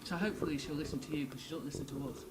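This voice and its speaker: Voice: medium pitch, rapid-fire, crowd noise. An interviewer asking a boxer about his career and family in a chatty, friendly way, often laughing along. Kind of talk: casual interview questions.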